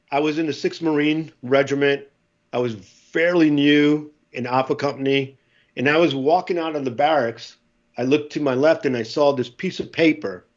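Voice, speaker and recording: A middle-aged former Marine, a native Spanish speaker, tells a long story on a podcast and acts out the dialogue in it. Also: male voice, medium pitch, highs cut off. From United States.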